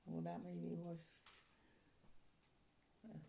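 An older woman from a Ukrainian-speaking home, reminiscing in an oral history interview in a soft, halting, rambling way. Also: fairly low pitch, completely flat delivery, vocal fry.

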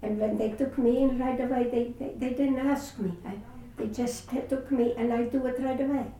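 An older woman with a Latvian accent, her first language, telling her life story in an oral history interview. She speaks haltingly, searching for words and restarting often. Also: high voice, steady tone, dark tone. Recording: roomy echo, distant mic, faint hiss.

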